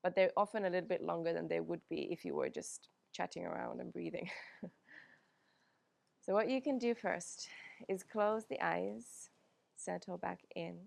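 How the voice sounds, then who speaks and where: A woman whose first language is Finnish, teaching a yoga class in a calm, unhurried way with frequent pauses and "um"s. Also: lowish voice, vocal fry, dry recording. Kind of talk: guided yoga class instruction.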